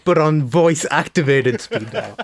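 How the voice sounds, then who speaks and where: A man's voice: on the high side, animated, measured pace, crowd noise. A man with an Irish accent, co-hosting a podcast with dry, teasing wit. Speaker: podcast co-host. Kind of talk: casual chat.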